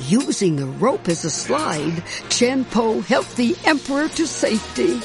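A woman reads a children's story aloud. Her delivery is slow and very expressive in pitch, with music playing behind her. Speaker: storybook narrator. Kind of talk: scripted read-aloud children's story narration.

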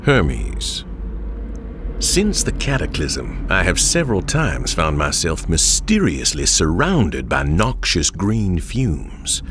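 Over faint noise, a scholarly chronicler in a horror game. The low voice moves expressively through formal, ominous journal entries about monsters. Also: male voice, wildly swooping pitch, slow, full character voice. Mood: grave, wary. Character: grave, learned man reading his journal of monsters. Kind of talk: scripted game journal narration.

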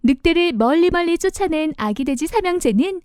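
Female children's storyteller: high voice, lively intonation, expressive, simple narration for little ones. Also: fairly quick, no echo, studio quality.

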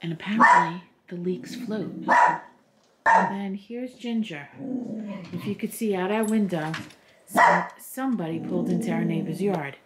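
A woman's voice: fairly low pitch, normal speed. A middle-aged woman chatting casually as a helper in a home kitchen, some way from the camera. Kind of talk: casual chat. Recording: echoey kitchen, loud background noise.

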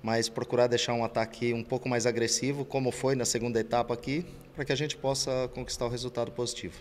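A middle-aged man, a football coach, giving a post-training interview into a handheld mic outdoors, speaking in a measured, hesitant way. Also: medium pitch, level tone, big-room sound. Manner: measured, hesitant, many tag questions. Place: outdoor press backdrop at a stadium. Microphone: handheld reporter mic.

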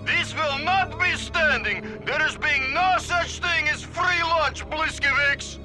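An actor playing a gullible, vodka-loving Soviet cosmonaut with a Russian accent, speaking theatrical broken English. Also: male voice, very high voice, slow, over music, low-quality recording. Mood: outraged resolve. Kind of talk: comic video game cutscene acting.

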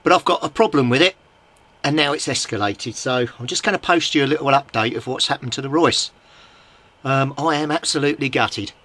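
A man's voice: medium pitch, fairly quick, sharp tone. A British man giving an informal, explanatory repair update as a hobbyist, thinking aloud while he works with his hands. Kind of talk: casual explanatory vlog while handling equipment. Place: indoors at a home workbench.

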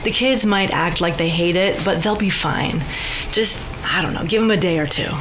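A woman's voice: on the low side, moderate pace, close to an everyday voice. Woman playing affectionate American wife, noisy backdrop. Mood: tender, flirtatious. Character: affectionate, gently practical wife and mother. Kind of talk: radio drama acting. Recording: clear background noise and ambience.

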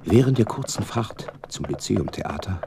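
An actor playing a sentimental, courteous gentleman companion who narrates a detective radio drama in a gravelly, husky voice. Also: lowish voice, lively intonation, moderate pace, heavy background noise. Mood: narrating.

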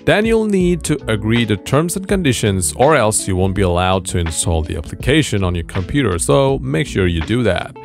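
Man narrating tutorial with lively, swinging pitch. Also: normal speed, creaky, few pauses. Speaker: tech tutorial host. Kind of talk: scripted software tutorial narration. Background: background music.

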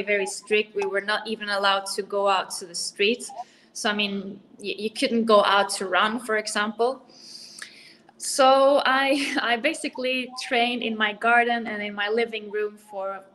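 A woman's voice: mid-range voice, steady tone, normal speed, a bit bright, a little hiss or hum, rough recording. A woman in her thirties from Norway, raised in Spain, talking casually as a boxer guest on a video call and laughing often. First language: Spanish or Norwegian. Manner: laughs often, friendly and easygoing. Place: bright room indoors. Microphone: laptop webcam on video call.

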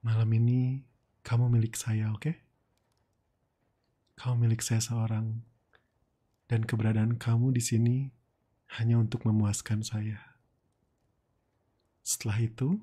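Low, breathy Indonesian boss played by a man. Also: flat delivery. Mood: possessive, intimate. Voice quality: soft, breathy. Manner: slow, coaxing, murmured. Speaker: ASMR roleplay streamer. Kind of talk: intimate boyfriend roleplay ASMR. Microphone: close ASMR microphone.

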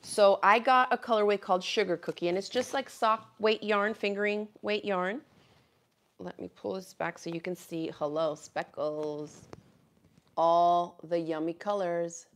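A woman in her thirties from Miami, a native Spanish speaker, hosting a casual live craft chat, animated and quick to laugh, at a fixed camera in a small craft room. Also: fairly low pitch. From Miami, USA. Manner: chatty and animated, laughs often, reads viewer comments aloud. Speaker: craft channel host. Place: small indoor craft room with quilts on the wall.

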